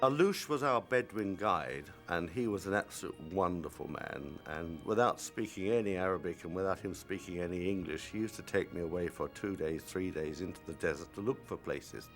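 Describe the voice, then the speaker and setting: A man from a film crew recalling the production in a measured interview. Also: sits low, lively intonation, a bit bright, faint music behind. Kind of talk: reminiscing interview.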